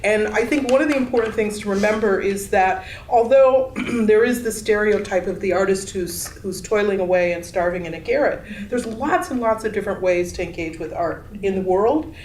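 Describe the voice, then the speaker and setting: A woman artist and teacher speaking in a measured, articulate, explanatory way during a panel discussion. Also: medium pitch, a little mellow. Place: gallery room, picked up from a distance. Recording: echoey room, distant mic, background noise.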